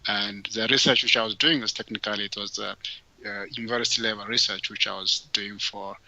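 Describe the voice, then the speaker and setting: A man from Ethiopia answers interview questions, pausing often and restarting his sentences. His voice is low, gravelly and very bright, almost sharp. The sound is dry and close, with no room around it. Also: steady tone, no echo, low-quality recording. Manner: halting, many fillers and restarts. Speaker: guest entrepreneur.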